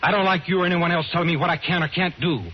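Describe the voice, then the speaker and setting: An American actor playing a stubborn, hard-boiled talent agent in an old-time radio drama, dramatic and tough-talking. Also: male voice, a little high, steady tone, rapid-fire, lightly acted. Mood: insistent, skeptical. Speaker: radio drama lead and narrator. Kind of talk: old-time radio drama acting and first-person narration. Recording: muffled vintage radio with music.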